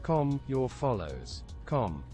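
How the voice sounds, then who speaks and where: A man's voice: mid-range voice, big pitch swings, slow. A synthetic male voice reading out typed chat messages in a text-to-speech style. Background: music.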